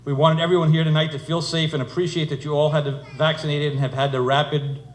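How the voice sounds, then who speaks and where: Middle-aged male, fairly high voice, dry humorous wedding speaker, recorded in a reverberant room. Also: level tone, fast. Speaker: father of the bride giving a speech. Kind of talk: humorous wedding speech told as a fairytale. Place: indoor wedding reception venue. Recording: echoey room, noisy event ambience.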